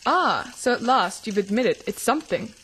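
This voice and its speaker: A woman playing a caring, teasing teenage older sister in a radio drama, gently probing and reassuring. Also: fairly high pitch, lively intonation, normal speed. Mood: teasing, curious. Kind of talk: radio drama acting for English learners. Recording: clear background noise of sizzling cooking. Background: frying and stirring kitchen sounds.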